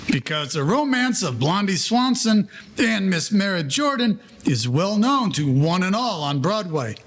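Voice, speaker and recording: An actor playing the wry, formal narrator of an old Broadway gangster tale, telling it as scripted drama. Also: male voice, high-pitched, big pitch swings, unhurried, fairly bright tone, heavily acted. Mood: wry storytelling.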